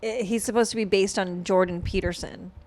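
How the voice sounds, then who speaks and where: A woman's voice: mid-range voice, steady tone, fairly quick, darkish tone. Female host, Boston accent, blunt and salty banter. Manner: blunt, profane, laughing. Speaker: podcast host. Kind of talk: casual podcast chat.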